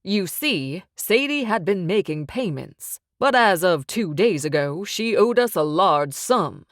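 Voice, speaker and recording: A woman's voice: fairly low pitch, sing-song, measured pace, dry recording, studio quality. A woman voicing a smug, menacing male mob boss who talks with amused, sing-song menace.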